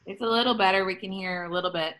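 A woman's voice: medium pitch, quick pace, a bit bright, poor audio. A woman leading a children's lesson on a video call, chatty and encouraging. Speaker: children's program leader. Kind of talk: casual hosting of a kids' video call. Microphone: laptop on a video call.

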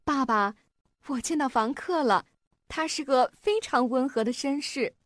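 A woman's voice: high voice, a little slow, fairly bright tone, no room sound, clean studio sound. An actress playing a cheerful, naive young daughter in a radio drama, chatting innocently as she tells her story. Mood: chatty, excited.

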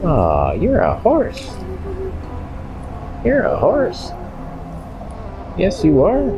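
A man's voice: fairly high pitch, huge pitch swings, drawn-out words, gravelly, music in the background. A man in his thirties giving casual, joking walk-along vlog commentary into a handheld camera. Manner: wry, joking asides. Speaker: vlogger. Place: on the move through a market and outdoors. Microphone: handheld camera at arm's length. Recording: noisy handheld camera with ambient noise.

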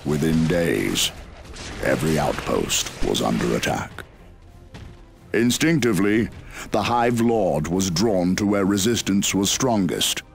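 An actor playing a grave war chronicler, narrating a video game in a measured, ominous way. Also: male voice, sits low, big pitch swings, gravelly, faint music behind, full character voice. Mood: grim. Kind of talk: scripted dramatic game narration.

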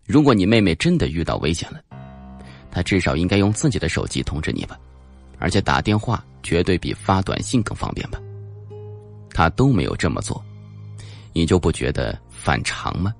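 A man voicing a calm, guarded male psychologist in an audiobook, reasoning in a measured, placating way. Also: low voice, vocal fry, faint music behind, heavily acted. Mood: skeptical, reasoning.

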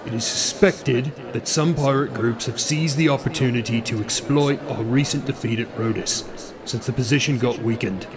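Measured, curt military marshal played by a man. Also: medium pitch, crowd noise, natural voice. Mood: measured, guarded. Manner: measured, formal, deflecting. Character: evasive, curt military marshal facing the press. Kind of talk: science fiction audio drama acting.

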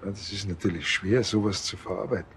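An actor playing a quirky, earnest patrol cop with a musing manner in a TV crime comedy. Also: male voice, fairly low pitch, quick pace, a bit bright, a little hiss or hum. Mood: dreamy. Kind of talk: German TV crime comedy acting.

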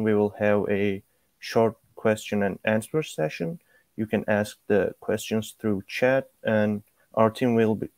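A young man hosts a student club session over a video call, introducing the guest with a lowish voice. The sound is dry and close. He pauses often with small fillers between phrases. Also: a little mellow, dry recording. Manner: hesitant, with many fillers.

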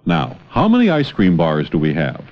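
A man's voice: sits low, wildly swooping pitch, moderate pace. A man teaching in an educational film, encouraging and full of questions, on an old film soundtrack. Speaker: teacher, narrator. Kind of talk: educational film dialogue with a child. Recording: dull, noisy, clicking old 16mm film soundtrack. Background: clicks and heavy film noise.

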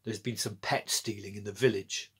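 A man's voice: fairly low pitch, fairly even intonation, normal speed, fairly bright tone, husky. A man voicing a mocking older brother, a scornful boy in a storybook. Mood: taunting.

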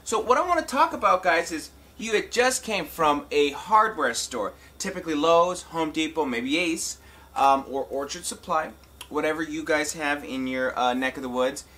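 Bright-voiced man hosting a casual tutorial. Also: on the high side, expressive, moderate pace. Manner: informal, folksy direct address to viewers. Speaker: tutorial host and talk box musician. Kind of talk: casual instructional demonstration.

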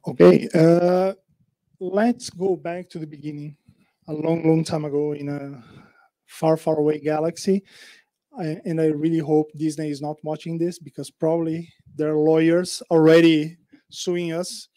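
A man's voice: on the high side, level tone, measured pace, warm tone, dry recording. A man in his forties moderating a panel, chatty and joking, using a handheld mic in a meeting room. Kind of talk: panel conversation.

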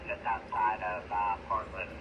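A man's voice: low voice, fairly even intonation, a little slow, lo-fi sound. A man in a casual contact as an amateur radio operator, heard over a radio link. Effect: radio transmission. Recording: distant radio signal, loud static.

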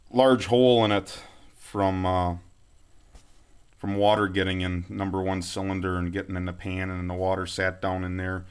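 A man with a low voice talks through a hands-on project in a plain, folksy way. He explains things informally and without hurry, recorded on a handheld camera in a workshop. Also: clear, resonant tone. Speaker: amateur tractor rebuilder presenting a project update.

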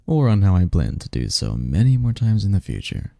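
A man playing a possessive, teasing sleep demon lover in an intimate ASMR roleplay, speaking softly in formal, flirtatious phrases. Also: low voice, wildly swooping pitch, a little fast, gravelly, no room sound, studio quality, full character voice. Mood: teasing, seductive. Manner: flirtatious, formal wording, soft intimate delivery, chuckling.

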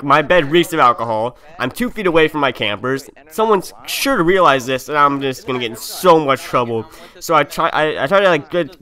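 A man's voice: medium pitch, pushed and bright. Young man telling a story casually. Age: young adult. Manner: informal, slangy, acts out dialogue. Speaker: streamer telling a story over gameplay. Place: indoor room at a gaming setup.

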